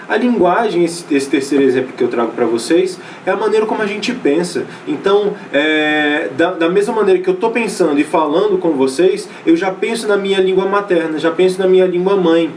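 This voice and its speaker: A man's voice: sits high, a little mellow, far from the mic, a little hiss or hum. Brazilian male teacher, casual lecturing. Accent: Brazilian Portuguese. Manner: casual, many fillers and restarts, checks in with students. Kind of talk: recorded online class lecture.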